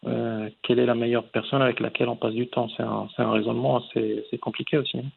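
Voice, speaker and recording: Man, forties, raspy with vocal fry, thoughtful interview guest, measured conversational delivery. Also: medium pitch, level tone, narrow, tinny audio. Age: 40s. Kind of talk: reflective conversational interview answers.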